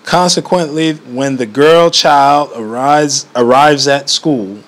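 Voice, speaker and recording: Slow, halting man reading aloud. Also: mid-range voice, a bit bright. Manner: halting, often stumbles and rereads phrases. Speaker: reader of a book aloud. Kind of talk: amateur read-aloud of a book.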